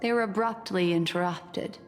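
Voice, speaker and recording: A woman playing an enigmatic, composed young seer in a video game, musing in a measured way. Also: mid-range voice, lightly acted. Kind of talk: dramatic video game voice acting. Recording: long reverb, music underneath.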